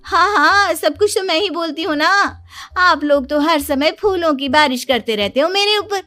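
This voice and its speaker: A woman's voice: very high voice, normal speed, pushed and bright, faint music behind. A woman voicing a self-pitying, complaining daughter-in-law in a Hindi cartoon story, whiny and dramatic. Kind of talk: animated Hindi story dialogue.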